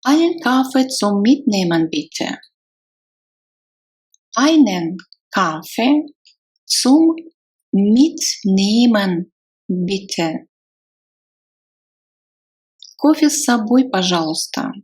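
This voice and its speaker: A woman teaching phrases in a slow, repetitive drill, saying each phrase several times with a translation. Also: mid-range voice, sing-song, darkish tone, breathy edge. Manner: repeats each phrase slowly, then gives the translation. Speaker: language teacher.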